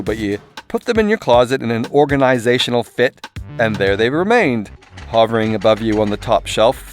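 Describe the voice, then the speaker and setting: A man, sounding middle-aged, reads a scripted first-person audiobook in an irreverent, sardonic, comically exaggerated way. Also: lowish voice. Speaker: author reading his own audiobook. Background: music and soundscape.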